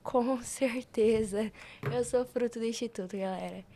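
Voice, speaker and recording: A young woman with a high voice and a Brazilian Portuguese accent. She tells stories in an informal, animated podcast style, slipping into quoted dialogue as she goes. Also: measured pace. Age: young adult. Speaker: podcast guest, youth institute member. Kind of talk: casual storytelling on a podcast.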